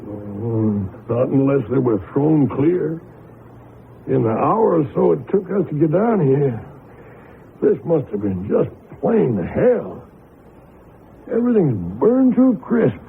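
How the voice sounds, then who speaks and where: A man's voice: on the high side, very animated, slow pace, vocal fry, exaggerated voice. A man playing a cautious, kindly middle-aged American country husband, folksy and hesitant as he reasons things through in a radio drama. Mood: wary, puzzled. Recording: muffled lo-fi radio broadcast with noise.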